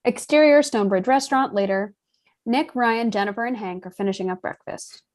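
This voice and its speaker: A woman's voice: a little high, a little fast, darkish tone. A woman reading stage directions as scripted narration for a screenplay table read.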